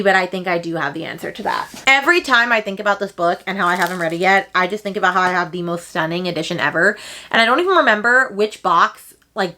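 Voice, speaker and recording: A woman's voice: mid-range voice, sharp tone. A woman book vlogger chatting casually to camera in a gushing, emphatic, slangy way with dramatic exaggeration. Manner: slangy, informal with frequent "like" and "okay".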